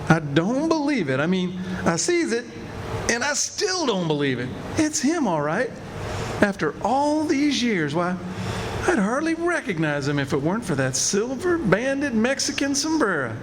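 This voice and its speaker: Man playing a high-pitched, folksy Wild West sheriff. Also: very animated, measured pace. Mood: amazed. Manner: drawling rustic talk with hesitations. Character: folksy old-west sheriff, slow-reasoning, wry. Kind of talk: live radio theatre acting. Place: live performance at a community center. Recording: noisy live stage recording, room rumble.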